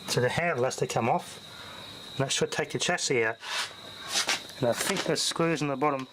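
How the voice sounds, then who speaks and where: A man's voice: medium pitch, moderate pace, heavy background noise. A man giving casual, off-the-cuff repair commentary as a hobbyist tinkerer, muttering to himself in short fragments as he thinks aloud.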